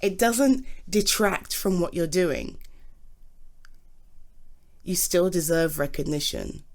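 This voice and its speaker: A woman's voice: lowish voice, animated, takes it slowly, darkish tone. A British woman playing a supportive, affectionate girlfriend in an intimate ASMR roleplay, earnest and encouraging. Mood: gently reassuring. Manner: encouraging, earnest, emphatic on key words. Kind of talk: ASMR roleplay, intimate one-sided conversation.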